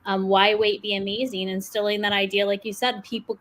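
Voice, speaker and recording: A woman's voice: mid-range voice, level tone, a little fast, a bit bright. A woman in her forties chatting on a panel over a video call, upbeat and laughing between thoughts. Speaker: panelist, podcast host. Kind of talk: casual panel chat.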